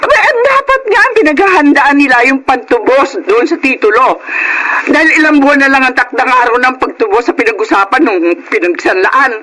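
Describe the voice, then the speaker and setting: A woman's voice: sits high, lively intonation, brisk, a crowd in the background, rough recording. A woman playing an outspoken middle-aged Filipino wife in a radio drama, with an emphatic, dramatic delivery. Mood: exasperated. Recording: clear background noise.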